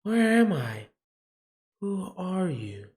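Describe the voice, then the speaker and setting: A man's voice: sits high, big pitch swings, very slow, a little mellow, voice pushed up, full character voice. A man voicing an anguished, macabre young woman with amnesia in a visual novel, theatrical and brooding, easily bewildered. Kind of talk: dramatic first-person visual novel narration.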